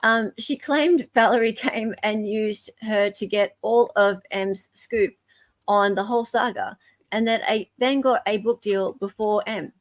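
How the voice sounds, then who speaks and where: A woman's voice: mid-range voice, measured pace, no room sound. Casual Australian woman hosting, dry close sound. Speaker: livestream host. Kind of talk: casual live group chat.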